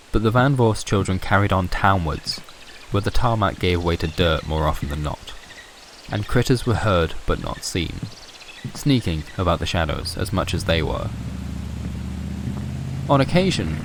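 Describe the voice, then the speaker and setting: A man's voice: low-pitched, slight background noise. A man narrating a scripted horror story for a fiction podcast.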